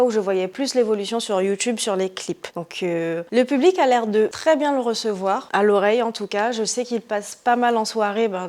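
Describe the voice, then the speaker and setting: A woman's voice: mid-range voice, a little mellow. A woman in her twenties from Guadeloupe and French Guiana, a singer answering interview questions in a casual, hesitant way with many fillers. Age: 20s.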